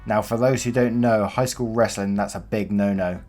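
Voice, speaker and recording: A man with a low voice reads stories aloud in a plain storytelling manner, dropping in casual asides. Soft music plays faintly behind him. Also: steady tone, normal speed. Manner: plain storytelling with offhand comments. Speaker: narrator of online stories. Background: faint background music.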